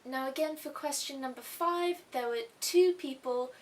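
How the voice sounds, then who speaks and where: A woman's voice: high-pitched, moderate pace. A young woman who grew up in Thailand, hosting a casual art-channel question and answer vlog in a chatty way, with asides and restarts. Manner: chatty, repeats herself, little asides. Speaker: YouTube art channel host. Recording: faint hiss, mic a little distant.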